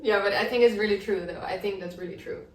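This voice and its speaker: A woman's voice: mid-range voice, quick pace. Dutch woman, twenties, hesitant laughing chat, roomy echo. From Netherlands. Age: 20s. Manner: laughing, hesitant, with many "um" and "yeah". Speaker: podcast co-host. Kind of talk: casual chat. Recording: echoey room, mic at a distance.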